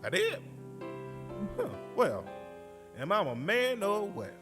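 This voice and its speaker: An actor playing a playful, loving working-class husband in a live radio play. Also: male voice, high-pitched, huge pitch swings, slow, over music, exaggerated voice. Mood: wistful, tender. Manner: exuberant, folksy. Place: live stage in a recreation center.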